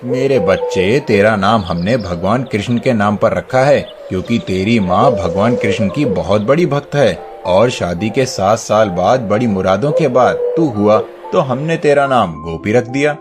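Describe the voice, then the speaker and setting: Man playing a low-voiced gentle father. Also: lively intonation, measured pace, few pauses, over music. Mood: tender, explaining. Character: gentle, patient poor village father.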